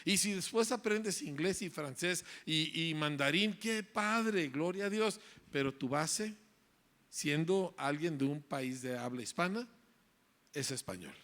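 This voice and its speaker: A man speaks from the front of a hall, lecturing parents and teachers in an emphatic, driving way. He repeats his key phrases and checks in with his listeners through tag questions. His voice sits somewhat high for a man. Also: lively intonation, unhurried, a bit bright. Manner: emphatic, repetitive rhetorical lecturing with frequent "¿verdad?".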